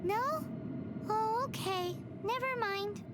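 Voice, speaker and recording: A woman voicing a tiny, excitable, childlike fairy companion in an anime-style video game, in a squeaky voice. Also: takes it slowly. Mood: worried.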